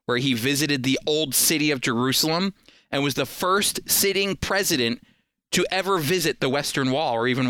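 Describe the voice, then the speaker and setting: A man's voice: a little high, pushed and bright, smooth, no room sound. An American man hosting a radio program, delivering prepared commentary in a talk show style.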